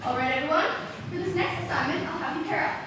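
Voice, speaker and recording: A woman playing a brisk, exasperated high school teacher in an amateur stage play. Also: lightly acted. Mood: brisk, chiding. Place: school stage, recorded from far off. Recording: echoey stage, far mic, noisy.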